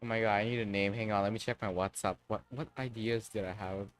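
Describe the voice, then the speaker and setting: A man's voice: on the low side, steady tone, fast talker. A man livestreaming a game, chatting casually and thinking aloud, with some swearing. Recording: dry close sound, faint music.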